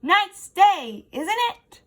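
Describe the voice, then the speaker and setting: A woman voicing a friendly female storybook ant who booms out her words loudly, eager for company. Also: very animated, very slow, full character voice. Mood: loud, hopeful greeting. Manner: booming, exclaiming.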